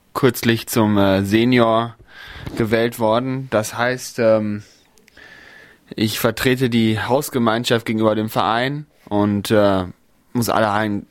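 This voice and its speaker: Hesitant young man, low and dry. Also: no room sound. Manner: hesitant, many fillers. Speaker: elected house representative of a student residence. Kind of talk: casual self-introduction.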